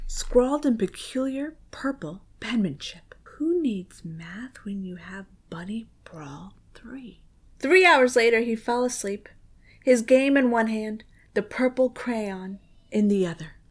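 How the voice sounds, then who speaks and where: A woman reading a spooky children's story aloud, expressive and suspenseful, with dramatic hushed moments. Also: fairly high pitch. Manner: expressive, suspenseful, often dropping to a dramatic whisper. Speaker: children's book reader.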